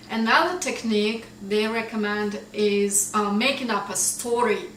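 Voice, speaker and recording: A woman with a Russian accent, a native Russian speaker, teaching English vocabulary in a casual lesson, explaining slowly and often checking in with "right?". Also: medium pitch, fairly bright tone, roomy sound, far from the mic, a little hiss or hum, poor audio.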